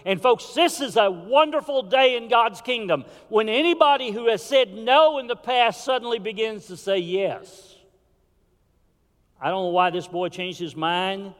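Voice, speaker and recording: A man preaching a sermon in a church, swinging from fervent calls to hushed, low warnings. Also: measured pace. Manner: impassioned preaching with sudden drops to a hush. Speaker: pastor. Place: church sanctuary. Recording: hall reverb.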